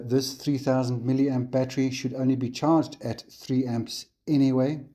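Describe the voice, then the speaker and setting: A man with a South African accent hosting a hobby channel, walking viewers through a kit in a relaxed tutorial style with frequent ums and uhs. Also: mid-range voice. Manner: relaxed, unhurried, frequent fillers. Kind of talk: casual tutorial walkthrough on camera.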